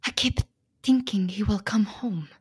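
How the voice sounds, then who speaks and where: An actress playing a proud, fearful Slovak immigrant wife and mother in a radio play, speaking with a Slovak accent and formal, halting phrasing. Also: mid-range voice, breathy edge. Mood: subdued, sorrowful. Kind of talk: dramatic radio play acting.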